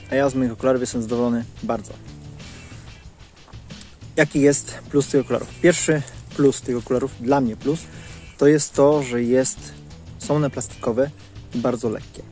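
Medium-low-voiced man reviewing products outdoors. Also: fairly quick, darkish tone, soft background music. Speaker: vlogger giving a product review. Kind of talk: casual monologue to camera. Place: outdoors in leafy woodland. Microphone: clip-on lapel mic.

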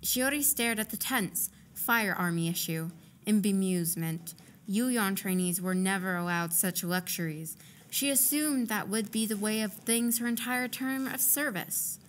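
A woman's voice: mid-range voice, a little slow, a little hiss or hum. A woman narrator reading scripted third-person fiction for an audio drama.

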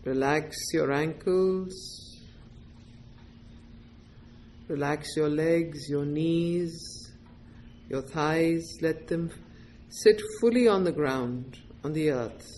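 A woman guides a meditation at a very slow pace, in a somewhat low voice for a woman. She gives gentle instructions in short, deliberate phrases, leaving space between them. There is a faint wash of background noise. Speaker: meditation teacher.

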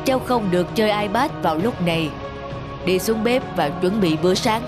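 An authoritative old Santa Claus from a cartoon, voiced by a woman, stern but kindly in his pronouncements. Music plays clearly behind the voice. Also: mid-range voice, normal speed, close to an everyday voice. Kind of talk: dubbed cartoon storytelling. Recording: music under the voice. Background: background music.

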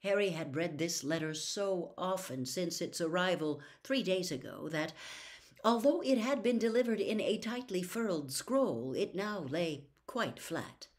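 A woman's voice: fairly low pitch, unhurried. Older woman reading a novel aloud. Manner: expressive, shifting into louder declaiming for the quoted leaflet. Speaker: storyteller. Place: small home room with bookshelves.

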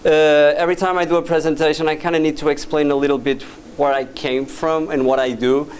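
A man from Portugal with a slightly high voice talks to an audience in a dim lecture hall. He hesitates often, restarting his sentences. A camera at the back of the room catches him from a distance with a faint hum underneath. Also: flat delivery, brisk. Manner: hesitant, many fillers and restarts. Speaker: guest speaker, VJ and doctoral student. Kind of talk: casual presentation to an audience. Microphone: far camera at back of room.

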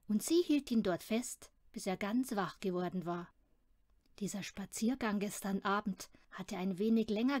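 Female children's audiobook narrator, higher register, close dry sound. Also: a little mellow, no room sound. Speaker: audiobook reader. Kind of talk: scripted children's story narration.

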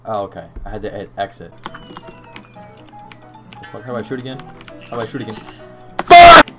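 A young man playing a video game on webcam, swearing and shouting in frustration, his voice growing hoarse. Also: mid-range voice, huge pitch swings, brisk, over loud music. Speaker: gaming YouTuber. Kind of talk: webcam gameplay commentary. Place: small room at a desk. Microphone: desk mic beside keyboard, wearing headset.

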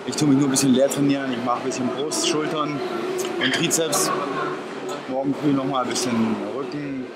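A man's voice: mid-range voice, steady tone, normal speed. A man in his thirties chatting casually to a handheld camera in short, wry remarks as a fitness vlogger. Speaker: fitness vlogger and bodybuilding competitor. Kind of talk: casual vlog chat to camera. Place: on the move: train, taxi, street, hotel, gym. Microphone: camera at arm's length. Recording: noisy handheld camera, loud ambient noise.

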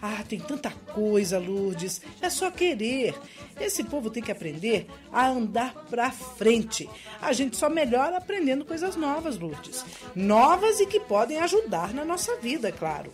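A woman's voice: on the high side, big pitch swings, unhurried, faint music behind. An actress playing an outspoken young Brazilian village woman in a radio soap, chatty and emphatic. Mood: indignant, emphatic. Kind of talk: radio soap opera acting.

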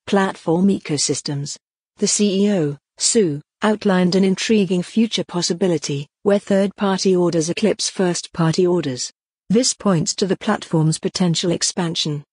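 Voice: medium pitch, darkish tone, breathy edge, dry recording. A podcast narrator reading a scripted business report.

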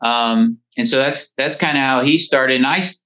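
A man's voice: medium pitch, normal speed, bright, forceful tone. A man in his thirties chatting casually as a podcast guest over the phone, telling stories in a rambling way. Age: 30s. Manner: rambling, frequent "you know". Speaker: podcast guest, lawn care business owner. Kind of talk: casual interview storytelling. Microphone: phone call. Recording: dull, band-limited phone call.

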